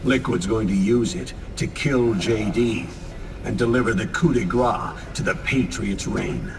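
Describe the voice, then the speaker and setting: Older game commander, slow, solemn, urgent, measured, somewhat distant, clear background noise. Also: male voice, fairly low pitch, in a large hall. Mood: grave urgency. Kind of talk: dramatic video game cutscene acting.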